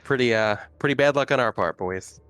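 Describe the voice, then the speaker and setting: A man's voice: medium pitch, a little fast, fairly bright tone, dry recording. A man taking part in a tabletop role-playing game as a player, chatting casually.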